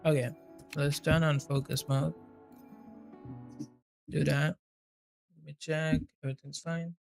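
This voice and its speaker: A man's voice: fairly high pitch, little pitch movement, takes it slowly, creaky. A man doing casual live gameplay commentary, muttering to himself and swearing freely. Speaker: game streamer. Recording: game music behind the voice.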